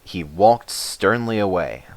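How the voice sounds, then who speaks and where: Male narrator for children's storybook, lively varied pitch, close dry recording. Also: slow, no room sound.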